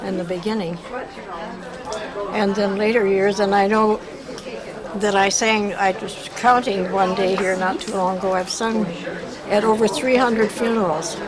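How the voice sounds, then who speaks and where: A woman's voice: medium pitch, fairly even intonation, a little slow, low-quality recording. An older woman at a garden party, telling plain, chatty stories about her years of singing. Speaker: interviewee, longtime church singer. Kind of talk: casual reminiscing. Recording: loud party crowd behind.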